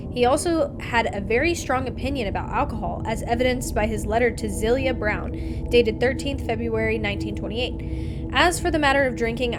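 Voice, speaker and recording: Woman, somewhat high pitch, live streamer, casual game commentary, reading aloud, noticeable music in the background.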